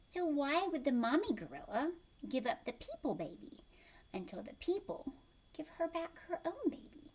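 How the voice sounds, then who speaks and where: A woman teacher reading a storybook aloud to children, expressive and addressing young listeners directly. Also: high voice, moderate pace.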